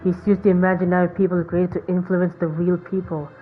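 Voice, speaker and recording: A woman's voice: lowish voice, little pitch movement, fairly quick. A woman giving casual, sweary reaction commentary as a game streamer. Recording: thin phone-like sound, faint noise.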